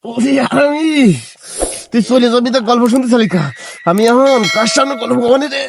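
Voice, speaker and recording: Guy acting as nonstop village storyteller, really high voice, rural bangladeshi bengali accent, pesters and exclaims, comedy skit, noisy audio. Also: steady tone, moderate pace. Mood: boastful, animated. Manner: insistent, exclamatory, repetitive. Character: compulsive village storyteller, pestering, excitable man. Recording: clearly noisy recording with clicks.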